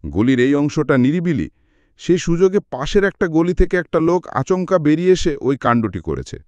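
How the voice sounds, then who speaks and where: A man giving an expressive scripted narration of a story as an audiobook reader. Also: a little high, normal speed, dry recording, lo-fi sound.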